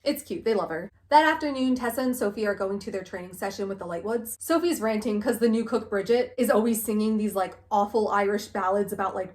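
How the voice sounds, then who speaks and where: A woman giving a casual, gushing, sarcastic book recap, full of "like" and asides. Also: mid-range voice. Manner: sarcastic, gushing, heavy use of "like". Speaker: book vlogger.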